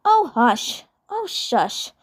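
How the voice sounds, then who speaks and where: A woman's voice: on the high side, sing-song, drawn-out words, exaggerated voice. A woman voicing a proud, stubborn, defensive little male cartoon alien who mumbles in a prickly way.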